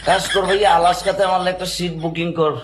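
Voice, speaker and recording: A man's voice: sits high, level tone, racing, noisy background. A man around thirty with a Bangladeshi accent, ranting in an exasperated, sarcastic monologue full of repeats. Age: 30s. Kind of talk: ranting social video monologue.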